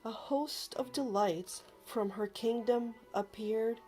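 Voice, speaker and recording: Female storybook reader, higher-pitched, unhurried and expressive, dramatic emphasis for young listeners, music underneath. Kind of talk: children's read-aloud narration. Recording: music behind the voice.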